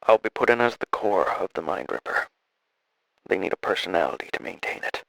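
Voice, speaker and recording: A man playing a dying, regretful scientist in an audio drama, speaking haltingly and wearily as he records a solemn log. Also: sits low, expressive, gravelly, a bit bright, dry recording, pitched below the performer's own voice, heavily acted, low-quality recording. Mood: resigned, determined. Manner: halting, solemn, weary delivery with trailing phrases.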